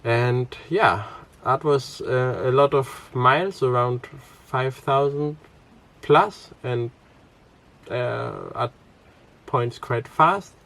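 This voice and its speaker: Relaxed young man, hesitant vlog talk. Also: mid-range voice, slow pace. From Greece. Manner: relaxed, hesitant with many fillers and restarts. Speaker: sailing vlog host. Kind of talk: casual vlog narration.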